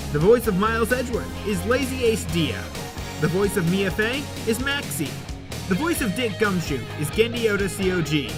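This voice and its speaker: Credits announcer over plucked guitar music. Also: medium pitch. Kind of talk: scripted credits read-out.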